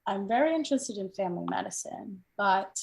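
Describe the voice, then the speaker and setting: A woman's voice: medium pitch, animated, brisk, gravelly, darkish tone. A young woman from India, a medical student, chatting casually in an interview with lots of fillers and an upbeat tone. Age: young adult. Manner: hesitant, filler-heavy, upbeat.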